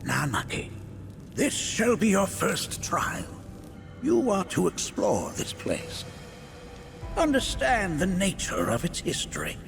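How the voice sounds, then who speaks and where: An actor playing a wise, eccentric elderly mentor in a video game, stern yet kindly, with a thin aged voice and formal, measured pronouncements. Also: male voice, sits high, wildly swooping pitch, vocal fry, heavily acted. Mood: grave, instructive. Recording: loud music under the voice.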